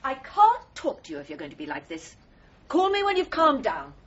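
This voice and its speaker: Middle aged british wife, snippy and combative, voice jumps around a lot, bit breathy, radio play. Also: female voice, high voice, wildly swooping pitch, normal speed, no echo, highs cut off, full character voice. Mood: coolly sharp. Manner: sharp, combative, cutting. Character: sharp, indignant middle-aged estranged wife and mother. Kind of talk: naturalistic radio comedy drama acting.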